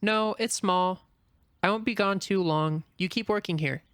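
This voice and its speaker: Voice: medium pitch, unhurried, fairly bright tone, smooth, no echo, studio quality, close to an everyday voice. A man voicing a feisty small female rabbit, blunt and stubborn, in a dramatic reading of a screenplay. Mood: matter-of-fact.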